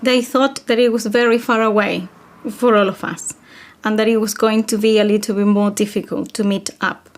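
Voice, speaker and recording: Chilean lady in an interview, spanish first language, calm plain way of talking, mid voice. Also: mid-range voice, moderate pace. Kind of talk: interview answers.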